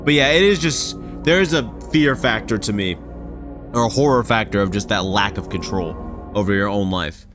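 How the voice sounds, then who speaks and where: Man rambling casually over loud music. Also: lowish voice, moderate pace. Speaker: reaction video creator. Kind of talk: casual reaction and theorizing commentary. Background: background music from games.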